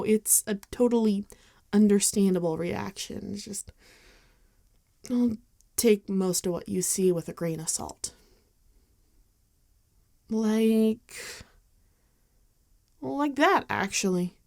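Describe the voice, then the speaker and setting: A woman playing a caring, apologetic female vampire friend in an intimate ASMR roleplay, speaking gently and hesitantly. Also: medium pitch, creaky, no echo, clean studio sound. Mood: gentle, casual. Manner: hesitant, gentle, halting with soft sighs. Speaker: ASMR voice actor.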